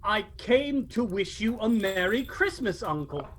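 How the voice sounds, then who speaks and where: An actor playing a cheerful, warm-hearted nephew in a radio play, speaking in an earnest, declamatory way. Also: male voice, high-pitched, very animated, a little slow, heavily acted.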